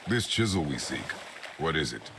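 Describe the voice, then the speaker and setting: An actor playing a gruff, impatient middle-aged warrior in a video game, gravelly and terse, giving out commands. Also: male voice, a bass voice, very animated, moderate pace, fairly bright tone, full character voice. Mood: stern. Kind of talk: video game character dialogue. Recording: clear background noise under game dialogue.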